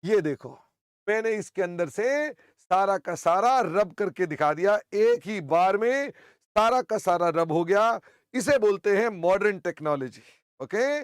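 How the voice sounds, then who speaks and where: An older man teaching accounting in an online class, hoarse, chatty and teasing, often clearing his throat. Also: high voice, animated, measured pace, dry recording, studio quality.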